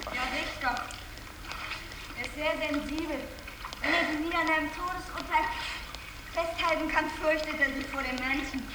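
Woman acting young nurse, high-pitched, intimate, murmured, 1960s radio play, off the mic. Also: normal speed, long pauses, reverberant, natural voice. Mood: intimate, teasing. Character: young nurse, a general's niece, intimate with her fiancé. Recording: distant, noisy 1960s radio tape.

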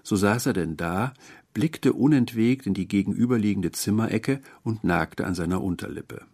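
Low-pitched, husky male literary narrator. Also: normal speed, a little mellow. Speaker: narrator, reader.

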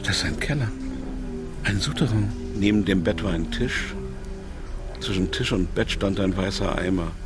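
Low-pitched, anxious kidnapped man played by an actor. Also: normal speed, long pauses, music in the background, natural voice. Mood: observant. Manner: clipped, fragmentary, observant. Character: anxious kidnapped businessman, wary, calculating, thinking aloud. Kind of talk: radio drama inner monologue and narration.

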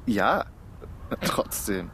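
An actor playing a drunk young stage actor who talks in a hesitant, sheepish way. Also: male voice, extremely slow, fairly bright tone, a little hiss or hum. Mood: tipsy.

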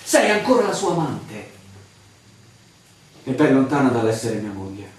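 An actor in a radio drama playing a frustrated, suspicious newcomer to a village, theatrical and full of insistent questions. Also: male voice, medium pitch, big pitch swings, measured pace. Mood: agitated, suspicious. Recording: echoey, distant, noisy room sound.

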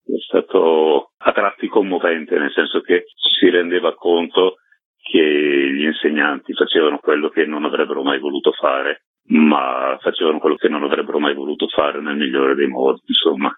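A man's voice: rumbling low, no echo. A man chatting as an interview guest, a documentary maker explaining his work in a conversational way. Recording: dry, narrow phone-like line.

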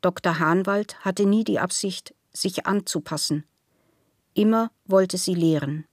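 A woman's voice: on the low side, a little slow, no echo, studio quality. A woman reading scripted literary prose as a narrator in a radio play.

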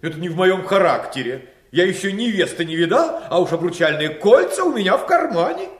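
A man's voice: sits high, very animated, a little fast, reverberant. A man playing a brash, blunt, impatient warrior prince in a theatrical fairy-tale audio play. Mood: impatient, boastful.

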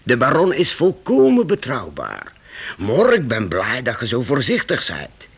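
A man's voice: medium pitch, huge pitch swings, a little slow, husky, full character voice. An actor playing a fatherly, reassuring older master in a radio drama, with earnest old-fashioned speech.

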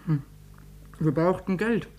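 Lovestruck youth, 1950s radio drama. High voice, hesitant, sincere, naively defiant. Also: male voice, slow pace, a little mellow. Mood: sheepish. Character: lovestruck, defiant but naive male youth, under twenty-one. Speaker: actor as young suspect.

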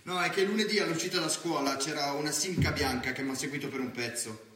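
A man's voice: on the high side, fast, fairly bright tone, natural voice. An actor playing an anxious, streetwise teenage boy in an emphatic, colloquial theatrical monologue. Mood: uneasy, brooding. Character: anxious, restless teenage boy, streetwise student narrating his evening. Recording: echoey theatre stage from far off.

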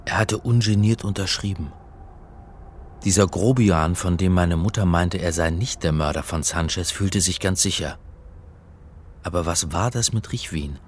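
An actor playing an uneasy doctor turned amateur sleuth who narrates a German radio drama. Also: male voice, deep voice, normal speed, a little hiss or hum, lightly acted. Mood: brooding narration.

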